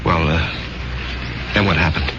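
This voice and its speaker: An actor playing a quiet, worried husband in a radio drama, speaking in a halting, mild, questioning way. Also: male voice, rumbling low, noisy background. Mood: uneasy, bewildered. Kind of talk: 1970s radio drama acting. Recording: muffled old radio broadcast, music behind.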